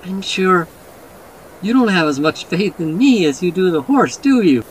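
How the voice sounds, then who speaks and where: Man voicing a soft, brave injured young woman. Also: high voice, big pitch swings, unhurried, a little hiss or hum, voice pushed up, rough recording. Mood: gently teasing. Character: injured young woman, brave, reassuring her little brother. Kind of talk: dramatic audiobook character reading.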